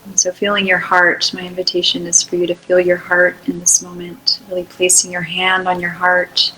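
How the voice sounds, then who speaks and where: A woman leading an unscripted guided meditation in a soothing, unhurried way, with long pauses between short phrases. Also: on the low side, completely flat delivery, pushed and bright, slight background noise, poor audio.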